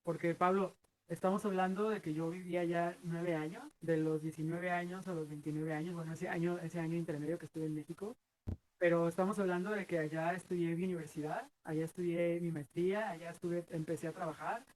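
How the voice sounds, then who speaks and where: A man's voice: fairly high pitch, steady tone, fairly quick, a little mellow, a little hiss or hum, low-quality recording. A Mexican man in his thirties answering interview questions earnestly, rambling with many fillers and restarts. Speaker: guest.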